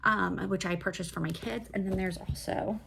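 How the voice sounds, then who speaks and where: A woman's voice: mid-range voice, fairly even intonation, moderate pace, creaky, noisy background. A woman chatting casually about books in an enthusiastic, digressive way, like a host reviewing her reading. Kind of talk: casual book review chat.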